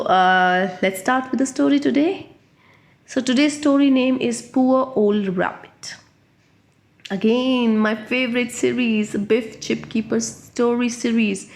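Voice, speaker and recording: A woman reading a picture book aloud to young children, explaining it simply with questions and repetition. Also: on the high side. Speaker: children's storyteller.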